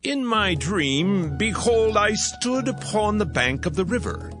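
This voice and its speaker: An actor playing a troubled, stately Egyptian pharaoh in a dramatized scripture reading, with halting, theatrical delivery. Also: male voice, high voice, very animated, music in the background. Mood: troubled, awed.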